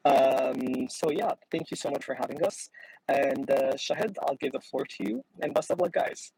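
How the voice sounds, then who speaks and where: A young man from Syria speaks as a youth activist in an informal presentation, polite and a little hesitant, with a gravelly, husky voice. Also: mid-range voice, moderate pace, a little mellow, no echo, poor audio. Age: young adult. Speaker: youth climate activist and founder.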